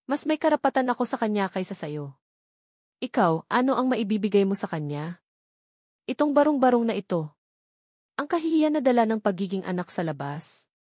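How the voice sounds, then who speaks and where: A woman's voice: mid-range voice, sing-song, normal speed, pauses often, a little mellow, no room sound. Woman narrator playing cold rich older mother, scornful, haughty, threatening, storybook drama, dry sound. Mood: cold, commanding.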